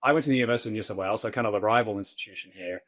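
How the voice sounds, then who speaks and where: A man's voice: lowish voice, very fast, fairly bright tone. A man from Sydney with an Australian accent, hosting a podcast interview in an informal, somewhat hesitant conversational way. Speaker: podcast host.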